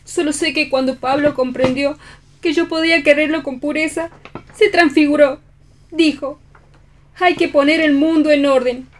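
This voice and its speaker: Una actriz interpreta a una mujer caída, apasionada y desdeñosa, que defiende su amor con tono teatral en un radioteatro. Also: female voice, sits high, fairly even intonation, moderate pace, close to an everyday voice. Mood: earnest pride. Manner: theatrical, indignant. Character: scornful, passionate fallen woman defending her love. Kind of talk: theatrical radio play reading.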